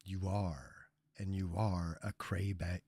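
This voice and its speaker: A slow-talking male streamer giving scornful reaction commentary full of swearing and mockery. The sound is dry and close to the mic. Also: sits low, level tone, mellow, slightly rough, dry recording. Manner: scornful, swears heavily, mocking.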